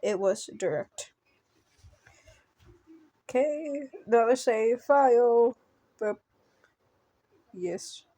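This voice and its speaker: A woman reading a visual novel aloud as she plays, haltingly, often stumbling on words and repeating them. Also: a little high, lively intonation, mellow, no echo. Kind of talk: reading game text aloud with brief asides.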